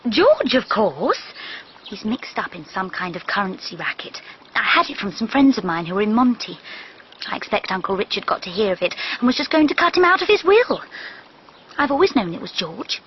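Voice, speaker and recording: An actress playing a flippant young English society wife, knowing and gossipy, in a radio drama. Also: female voice, mid-range voice, very animated, normal speed, lightly acted. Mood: incredulous, gossipy. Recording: dull lo-fi recording, background ambience noise.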